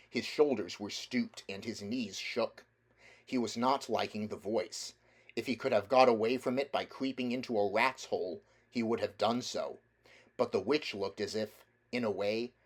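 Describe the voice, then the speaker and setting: A man reading a children's novel aloud as a narrator, in a measured, deliberate storytelling manner. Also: fairly low pitch. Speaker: audiobook reader.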